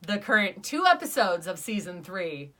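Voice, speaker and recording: A young woman in casual conversation, her voice bright and forward. She talks with eager, chatty enthusiasm and argues in good fun. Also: mid-range voice, very animated, moderate pace. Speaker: podcast review co-host. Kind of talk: casual chat.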